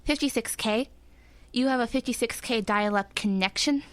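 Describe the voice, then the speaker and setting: A woman's voice: on the high side, moderate pace, fairly bright tone, no echo, lightly acted. A woman playing a keen, casual young granddaughter and would-be reporter in an audio drama. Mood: eager.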